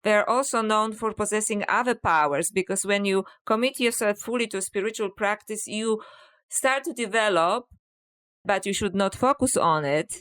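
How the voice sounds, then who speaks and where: A woman with a Polish accent, a guest in a podcast interview, explaining things in a measured, reflective way with frequent "you know.". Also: mid-range voice, a bit bright. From Poland. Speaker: author and scholar, podcast guest. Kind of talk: interview conversation.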